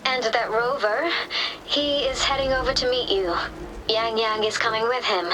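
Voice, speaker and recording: An actress playing a dutiful, calm official in a video game cutscene, reporting in a formal, precise and reassuring way. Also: female voice, fairly high pitch, moderate pace, background noise, close to an everyday voice.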